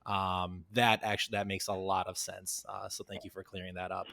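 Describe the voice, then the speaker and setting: Male host, American, fairly deep voice, informal interview chat, very dry sound. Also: little pitch movement, normal speed, gravelly, sharp tone, no echo. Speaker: podcast host.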